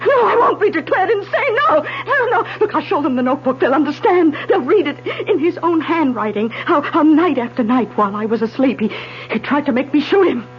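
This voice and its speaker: Very high voice, unravelling wife, tremulous, pleading, melodramatic, muffled vintage radio recording, music behind. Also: female voice, expressive, fairly quick, hardly any pauses, a little hiss or hum. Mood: panicked. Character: distraught, defiant wife who killed her husband, unravelling. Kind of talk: old-time radio drama acting.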